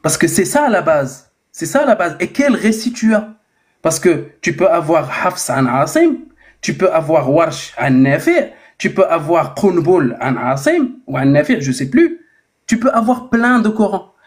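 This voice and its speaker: A man pushes hard in a live online debate and repeats his challenge over and over, demanding an answer. His voice sits a little higher than most men's. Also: very animated, a little slow. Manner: challenging, insistent questioning. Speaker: debate participant.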